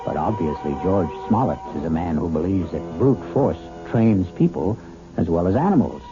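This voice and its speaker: A man with a low voice narrates as host of a 1970s radio drama, measured and ominous. Music plays clearly behind him on a dull old broadcast recording. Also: animated.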